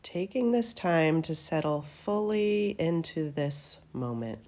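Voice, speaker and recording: Woman leading a guided meditation, really slow and soothing, soft dark mellow voice. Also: sits low, big pitch swings. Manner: soothing, gentle, unhurried phrases with trailing endings. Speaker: yoga nidra meditation teacher.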